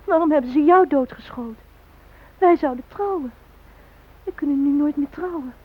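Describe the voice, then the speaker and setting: An actress in a radio drama playing a grieving young woman who speaks haltingly and in anguish to her dead fiancé. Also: high-pitched, natural voice. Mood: grieving, tender. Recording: dull, lo-fi old radio recording.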